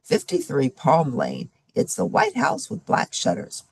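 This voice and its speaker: A woman reader voices a polite, practical young woman in a storybook. The delivery is matter-of-fact but moves widely in pitch, with a gravelly edge to the voice. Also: wildly swooping pitch, a little slow, a little hiss or hum, full character voice.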